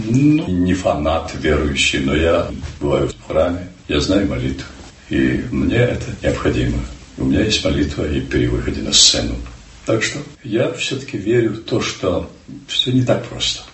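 An older man from Ukraine, a stage actor, telling stories in an unhurried, emphatic way during an interview. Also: extremely low pitch, big pitch swings, a bit bright, roomy sound, recorded from a distance, slight background noise. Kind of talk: reflective interview answers.

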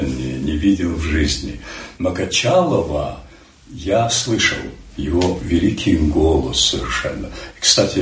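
Older male actor, low voice with a lively melody, recorded from a distance in a reverberant room. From Ukraine. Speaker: stage actor, interview guest. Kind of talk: reflective interview answers.

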